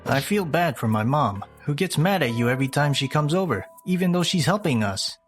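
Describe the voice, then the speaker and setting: A man's voice: a little high, lively intonation, a little fast, soft background music, natural voice. A man playing a selfish, dismissive young husband in a scripted comic dub. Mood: irritated, dismissive.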